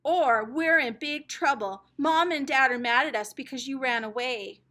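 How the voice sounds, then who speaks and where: A woman's voice: high voice, moderate pace, sharp tone. A woman voicing a bossy, teasing older sister in a storybook, with insistent, mocking emphasis. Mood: exasperated.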